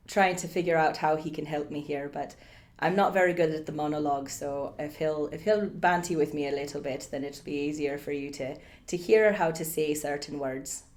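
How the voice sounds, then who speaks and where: Female accent coach, slightly low register, put-on Irish accent, halting explanatory delivery, informal lesson. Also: darkish tone. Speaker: accent coach giving a demonstration.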